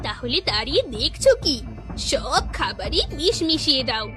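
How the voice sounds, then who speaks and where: Woman voicing a very high, whiny young village wife. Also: animated, normal speed, over music. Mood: scheming, urgent. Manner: coaxing, complaining. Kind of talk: animated cartoon story dialogue.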